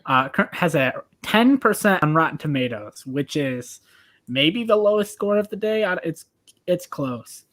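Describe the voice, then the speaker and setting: A man's voice: on the high side, animated, measured pace, a bit bright. A man hosting a podcast, casually reading out background information. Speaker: podcast host and judge.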